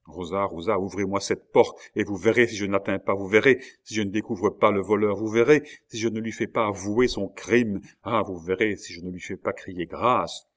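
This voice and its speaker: A man's voice: fairly low pitch, fairly even intonation, normal speed, few pauses, lightly acted. A man voicing a passionate, despairing male prisoner in an audiobook, with vehement delivery. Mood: frantic rage. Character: desperate, raging male prisoner, passionate tulip grower.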